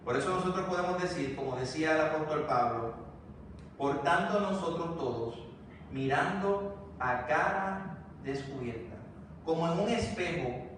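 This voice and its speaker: A man's voice: fairly high pitch, unhurried, fairly bright tone. A man with a Puerto Rican accent preaching a sermon to his congregation, speaking to them directly in a repeating, building cadence. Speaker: pastor. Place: church sanctuary. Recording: very echoey church, distant mic, faint noise.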